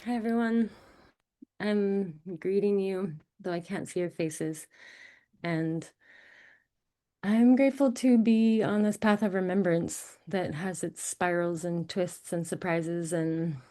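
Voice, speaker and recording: American woman, lower register, dark relaxed tone, reflective self-introduction to an online group, contemplative phrasing. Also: takes it slowly. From United States. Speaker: singer and course organizer, guest. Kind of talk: reflective personal introduction on a group video call. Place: video call.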